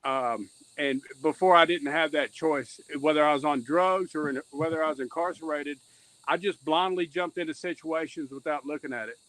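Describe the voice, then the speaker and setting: A man's voice: on the high side, normal speed, fairly bright tone, rough recording. A man speaking as a podcast guest, explaining his views earnestly and reflectively, repeating phrases for emphasis. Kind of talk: reflective conversational interview talk.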